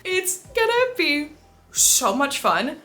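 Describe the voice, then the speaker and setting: A woman talking casually to viewers as a book vlogger, with a gushing, excitable and emphatic manner. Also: high-pitched, slow pace, faint music behind.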